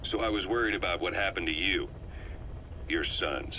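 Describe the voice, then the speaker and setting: An actor playing a shrewd male intelligence operative, delivering a measured, earnest briefing in a video game tape. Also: low voice, bright, forceful tone, a little hiss or hum, poor audio.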